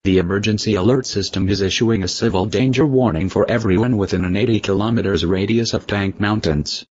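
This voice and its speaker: A man's voice: fairly low pitch, brisk, darkish tone. A man reading a scripted mock civil danger warning as an alert announcer. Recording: dull lo-fi audio, faint noise.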